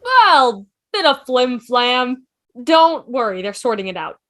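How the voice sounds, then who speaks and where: A woman's voice: sits high, sing-song, slow pace, a bit bright, exaggerated voice. A woman playing a clever, curious, chatty time traveller in an amateur drama table read, breezy and inquisitive. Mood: reassuring. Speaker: table read performer and narrator. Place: online video call table read. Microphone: video call.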